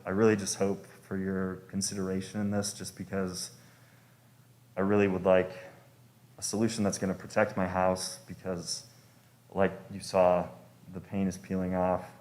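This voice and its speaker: Deep-voiced young man, polite and hesitant. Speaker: homeowner applicant at a public hearing. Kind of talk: informal public testimony. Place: public meeting room.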